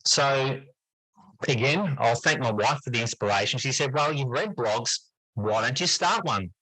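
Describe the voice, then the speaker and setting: A middle-aged man talks as an interview guest, explaining things reflectively. His voice is bright and clear-edged, at an ordinary male pitch. The sound is dry, with very little room around it. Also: lively intonation, normal speed, no echo, poor audio. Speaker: interview guest, teacher and writer. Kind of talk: conversational interview answers.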